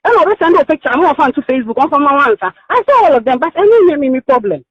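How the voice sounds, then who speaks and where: A high, very animated woman's voice on a muffled recorded phone call. She has a Ghanaian accent and talks insistently, circling back and rambling as she makes her case in a heated private conversation. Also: quick pace, no room sound. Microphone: telephone.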